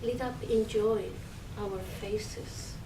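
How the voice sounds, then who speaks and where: Very slow woman reciting a prayer poem. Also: mid-range voice, steady tone. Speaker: poet reading own poem. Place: public library reading room. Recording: roomy, distant mic, faint noise.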